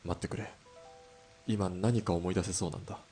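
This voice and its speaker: A man playing a calm, loyal-hearted hired killer in a 1920s Japanese radio drama, speaking quietly in terse, composed lines. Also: deep voice, brisk, breathy edge, soft background music. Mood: resolute.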